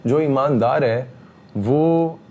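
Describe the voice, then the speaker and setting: Man, emphatic, mellow voice, faint room noise. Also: mid-range voice, extremely slow, lo-fi sound. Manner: emphatic, repetitive, frequent fillers. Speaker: interview guest. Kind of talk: heated interview talk.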